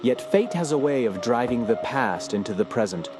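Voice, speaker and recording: A man's voice: mid-range voice, very animated, moderate pace, noisy background, close to an everyday voice. An actor playing a brooding, fearful man who narrates a horror tale in grave, literary speech. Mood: ominous. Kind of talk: dramatic scripted narration.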